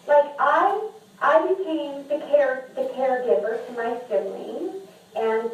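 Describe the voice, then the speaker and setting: A woman talks openly as a podcast guest. She sounds earnest and often restarts her sentences with repeated words. Her voice sits a little high and comes from away from the mic, in a strongly echoing room. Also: a little mellow, muffled recording. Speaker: podcast guest and memoir author. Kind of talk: candid conversational interview. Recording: echoey room, distant mic.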